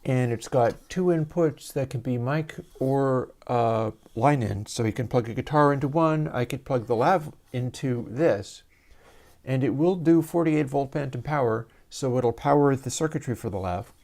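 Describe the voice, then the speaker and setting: Male livestream host, soothing and mellow, easygoing explainer on a lav mic. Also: mid-range voice, normal speed. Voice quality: soft, soothing voice. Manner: relaxed, rambling, explains gear step by step. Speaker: livestream host and audio developer. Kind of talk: casual livestream demo. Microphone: lavalier mic.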